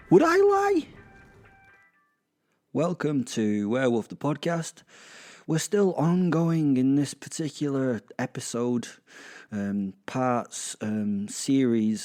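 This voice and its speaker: A man's voice: medium pitch, warm tone, lightly acted. A man playing a chatty, irreverent werewolf who narrates a comic horror audio drama in rambling, self-interrupting asides. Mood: sheepish, rambling. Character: irreverent, chatty, starstruck male werewolf killer. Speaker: narrator and host. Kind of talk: first-person comic horror monologue. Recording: music behind the voice.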